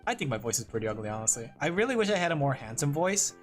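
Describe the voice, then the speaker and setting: A male vtuber who chats loosely with his audience while he draws. He rambles, laughs often and makes fun of himself. Soft music plays faintly behind him. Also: medium pitch, very animated, rapid-fire. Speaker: vtuber streamer. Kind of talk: casual livestream chat while drawing.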